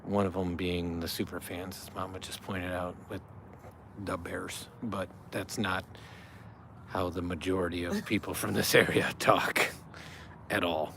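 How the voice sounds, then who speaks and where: Easygoing dad chatting outdoors. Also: male voice, low-pitched, level tone, measured pace, vocal fry, fairly bright tone, a little hiss or hum. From Chicago area. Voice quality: explanatory, easygoing, rambling with fillers. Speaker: livestream host, father. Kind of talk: casual livestream chat. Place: outdoors in a front yard by a fire. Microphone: phone on a small stand.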